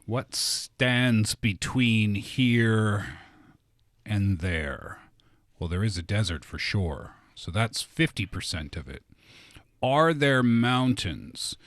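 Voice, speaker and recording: Slow-paced man bantering on a podcast. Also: fairly low pitch, big pitch swings, a bit bright, dry recording, studio quality. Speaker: podcast co-host and quiz contestant. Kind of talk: casual banter, thinking aloud.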